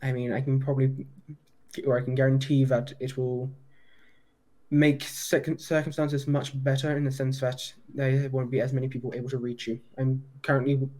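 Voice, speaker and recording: Male detective in a roleplay interview; soft-edged, level delivery, formal and measured. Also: mid-range voice, completely flat delivery. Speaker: roleplayer playing a police detective. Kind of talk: improvised police interview roleplay.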